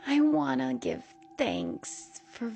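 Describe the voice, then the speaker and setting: A woman voicing an eerie, sugary middle-aged mother with a menacing edge, speaking slowly in a sing-song way. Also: high-pitched, huge pitch swings, creaky, warm tone, voice pushed up, heavily acted. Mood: eerie sweet.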